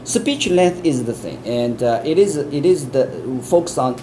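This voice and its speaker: Man, Chinese accent, interview answers, earnest, repeats and restarts, steady hum in background. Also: medium pitch, unhurried, poor audio. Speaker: interviewee, English test expert. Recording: air conditioning hum in background.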